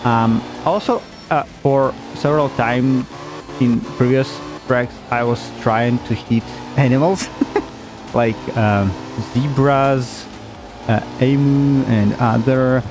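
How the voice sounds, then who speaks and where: A man commenting casually on a racing game at an unhurried pace, his voice sitting in a middle register. He hesitates often, restarts his sentences and chuckles now and then. Game music plays clearly behind him. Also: medium pitch, fairly even intonation. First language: not German. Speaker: gaming YouTuber. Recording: game music playing underneath.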